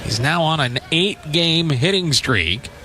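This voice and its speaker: Man, radio baseball commentator, slightly high pitch, short clipped calls, measured descriptions, noticeable outdoor ambient noise. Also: expressive. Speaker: play-by-play baseball announcer. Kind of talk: live radio sports commentary.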